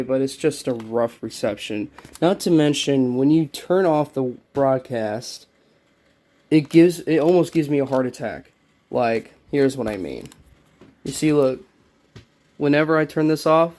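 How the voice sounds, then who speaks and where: A man giving a casual, unscripted show-and-tell as a vlogger, talking in a halting, rambling way and often repeating himself into a handheld phone. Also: fairly high pitch, darkish tone. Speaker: vlogger showing a personal collection.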